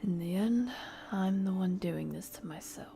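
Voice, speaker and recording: A woman voicing a weary, grumpy male investigator in a visual novel, hushed, reluctant and curt. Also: medium pitch, normal speed, vocal fry, noisy background, close to an everyday voice. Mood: weary brooding. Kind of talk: visual novel character reading.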